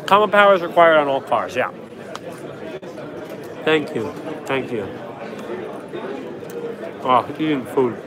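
A young man hosting a casual livestream from a trade show floor, answering chat in a quick, offhand, joking way, his voice worn from a cold. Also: medium pitch, sing-song. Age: young adult. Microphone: phone held at arm's length. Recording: noisy crowded convention hall. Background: crowd chatter.

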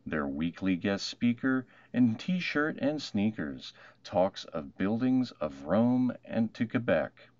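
A man narrating a rhyming children's storybook in an expressive, measured way. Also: lowish voice, no room sound, highs cut off. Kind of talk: rhyming picture book read-aloud.

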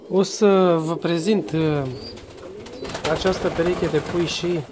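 A pigeon breeder with a middle-pitched voice talks casually to a handheld camera. The delivery is hesitant and circles back over phrases. Clear background noise and cooing birds sit around the words. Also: fairly even intonation. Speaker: pigeon breeder hosting a vlog. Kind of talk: casual show-and-tell vlog narration. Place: pigeon aviary. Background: birds cooing, clear ambient noise.

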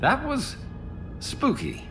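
Very animated, wisecracking hero. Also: male voice, extremely high pitch, slow pace, exaggerated voice. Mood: wry, joking. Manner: flippant, wry. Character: wisecracking, easygoing male archer superhero, devoted partner. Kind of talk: cinematic video game acting. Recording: loud cinematic game music behind.

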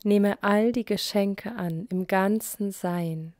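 A woman recites poetry very slowly in short, measured phrases. Her voice is soft and mellow, often trailing into breath at the ends of lines. The recording is dry and close, with long pauses between phrases. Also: mid-range voice, no echo. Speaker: poet.

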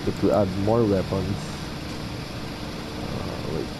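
A young man giving casual gameplay commentary, halting as he muses aloud, close to the mic. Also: low voice. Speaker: gaming video host. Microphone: mic placed right in front. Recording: steady background hum and noise.

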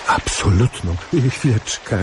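An actor in a radio play playing a polite, halting, apologetic but menacing killer. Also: male voice, lowish voice, natural voice. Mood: hesitant, insistent. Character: polite, hesitant, menacing male killer confronting his victim. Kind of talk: radio drama acting. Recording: noisy recording with rain. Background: rain.

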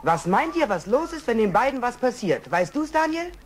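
A man's voice: extremely high pitch, big pitch swings, normal speed, a little hiss or hum. An actor playing a noble, peace-loving young male lion, earnest and resolute, in a children's audio drama. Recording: music bed under the dialogue.

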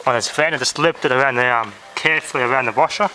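A man's voice: medium pitch, quick pace. A man casually thinking aloud in a muttering way as he gives a hands-on demonstration. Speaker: amateur video maker demonstrating a shortwave radio. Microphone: handheld camera mic. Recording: noisy handheld camera, loud radio static. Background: loud shortwave radio noise.

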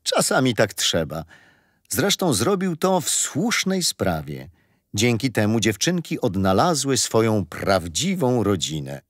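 An actor playing a patient, gentle grandfather telling children a history story in a radio play. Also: medium pitch, huge pitch swings, unhurried, dry recording, studio quality. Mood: reassuring. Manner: gentle, didactic.

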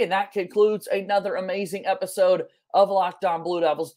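A man's voice: high-pitched, a little mellow. A young man hosting and interviewing on a sports podcast, speaking over a webcam call from a room at home. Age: young adult. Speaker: podcast host.

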